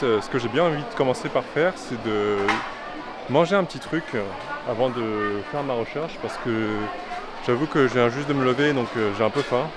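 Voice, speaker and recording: A young man vlogging casually while travelling, talking in a chatty way with many fillers and restarts. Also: mid-range voice. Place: busy city street outdoors. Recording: loud street noise. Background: loud traffic and street noise.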